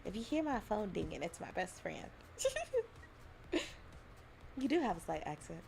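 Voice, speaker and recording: A woman's voice: on the low side, sing-song, moderate pace, creaky, darkish tone, slight background noise. A young adult woman from Alabama chatting casually on a livestream, giggling often and rambling between thoughts. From Alabama, US South. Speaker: streamer.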